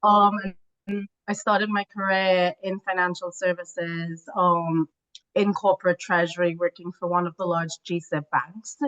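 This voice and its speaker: A woman's voice: on the low side, level tone, a little slow, fairly bright tone. A woman from Trinidad explaining her field as an expert guest in an interview. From Trinidad, Caribbean. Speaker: guest, corporate treasury and risk expert. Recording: dull, band-limited call audio.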